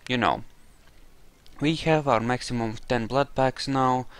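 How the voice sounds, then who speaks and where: A man's voice: medium pitch, unhurried, clean tone, no echo. A man giving casual roleplay commentary as he plays a video game, muttering to himself with playful exclamations. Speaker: gamer, let's play host.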